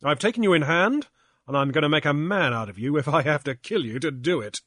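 A man reading aloud and voicing a tough ship captain who barks brisk orders. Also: a little high, lively intonation, fairly bright tone, no echo, clean studio sound, voice pushed up. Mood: commanding. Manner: brisk and commanding, barking orders. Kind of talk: audiobook character voice.

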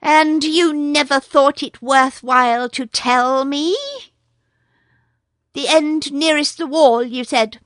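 A woman's voice: high-pitched, steady tone, no room sound, clean studio sound, pitched above the performer's own voice. A woman voicing a brave young male mongoose who speaks sharply and impatiently. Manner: brisk, sharp and scolding.

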